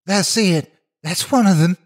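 Man voicing a frightened little girl, horror storytelling, raised pitch, panicky, husky. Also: a little slow. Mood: raw terror. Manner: panicked, fearful.

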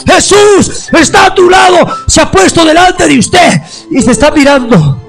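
A male preacher giving a fervent altar call, often shouting and stretching out his phrases. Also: very high-pitched, lively intonation, unhurried, soft background music.

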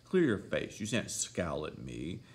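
Man voicing an imperious, hot-tempered young gentlewoman. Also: rumbling low, huge pitch swings, unhurried, heavily acted. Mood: jealous, challenging. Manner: commanding, scolding, indignant.

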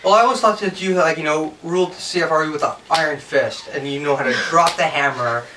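A man's voice: on the high side, a little fast, bright, forceful tone. A man in his thirties hosting a casual interview in an informal, bantering, self-deprecating way. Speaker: show host, interviewer. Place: indoor lounge with amps and instruments. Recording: distant mic, faint background noise.

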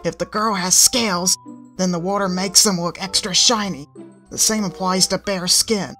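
A woman's voice: on the low side, normal speed, pushed and bright, faint music behind, voice pushed up. A woman voicing a smug, cocky young female dragon who explains things with a smirk.

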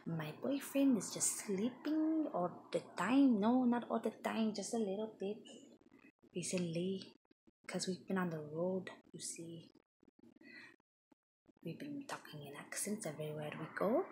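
A woman's voice: mid-range voice, unhurried, background noise, lightly acted. A woman putting on a boastful, crude foreign entertainer persona with a put-on foreign accent, rambling and slipping into half-whispers in an improvised comedy monologue.

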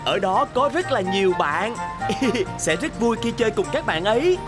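A woman's voice: mid-range voice, sing-song, moderate pace, close to an everyday voice. A woman voicing a friendly, boyish young city bus who talks like an encouraging big brother in a children's cartoon. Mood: cheerful. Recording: loud music behind.

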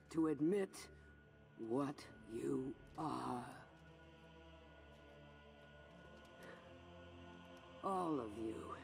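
A woman playing a cold, scornful female warlord in a video game cutscene, speaking deliberately and with menace. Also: sits low, creaky, a little mellow, heavily acted. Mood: menacing. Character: cold, menacing armoured female warlord preaching endless war. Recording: background music under the dialogue.

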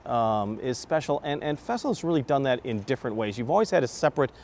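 A middle-aged American man works as a television host in a broadcast interview. His voice sits at a medium pitch for a man. He speaks as an interviewer addressing a guest and viewers. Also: very animated, fast, a little mellow. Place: large exhibition hall, indoors.

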